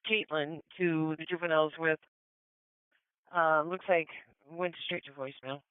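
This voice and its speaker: A man's voice: on the high side, fairly even intonation, a little slow, clean tone, no echo. A man working as a police dispatcher, giving terse dispatch calls over a two-way radio. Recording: tinny narrowband police radio scanner audio.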